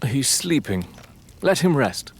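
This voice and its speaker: A man's voice: mid-range voice, big pitch swings, slow pace, slightly rough, full character voice. A man playing a haughty, defiant lord of the manor in a gothic audio drama, speaking in an imperious, sardonic, theatrical way. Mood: dry, sardonic.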